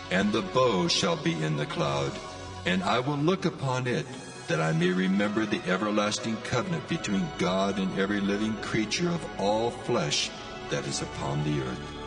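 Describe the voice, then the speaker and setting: Male actor as almighty deity, very gravelly, solemn proclamation, expressive pitch swings, music behind, audio scripture drama. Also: moderate pace. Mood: solemn, majestic.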